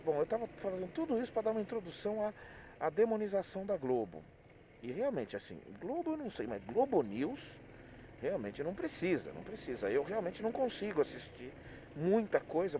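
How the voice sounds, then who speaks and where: Brazilian man rambling, animated pitch, mellow voice. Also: slight background noise. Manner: hesitant, repeats words while thinking aloud. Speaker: motorcyclist vlogger. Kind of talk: casual rambling monologue, personal reflections.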